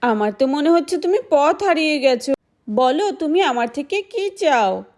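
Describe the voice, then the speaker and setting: Kind, humble village heroine for a doll story woman performer: high-pitched, caring delivery. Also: animated, normal speed. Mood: curious, caring. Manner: gentle, reassuring, caring.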